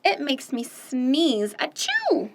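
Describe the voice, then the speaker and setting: A woman's voice: high-pitched, huge pitch swings, slow, vocal fry, heavily acted. Woman doing a sneezy little duckling. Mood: sniffly. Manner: sneezes loudly.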